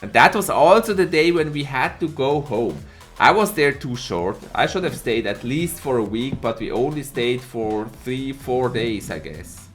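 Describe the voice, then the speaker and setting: Brief: male game caster, animated, slightly hoarse, unhurried delivery, faint music under. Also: medium pitch. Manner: lively, laughs often, greets chat viewers by name. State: a bit hoarse from a cold. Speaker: esports caster and streamer. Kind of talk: live tournament commentary with chat replies.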